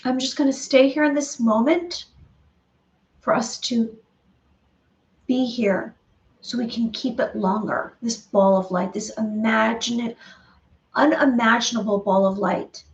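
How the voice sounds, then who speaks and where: A woman leading a guided visualization meditation, speaking slowly with soothing repetition and pauses. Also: on the high side, recorded from a distance, poor audio.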